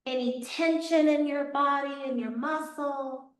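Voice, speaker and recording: A woman yoga teacher guiding a class through slow, soothing cues, her voice a little raspy from losing it. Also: high-pitched, fairly even intonation. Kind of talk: guided yoga class instruction. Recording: echoey room, voice from far off.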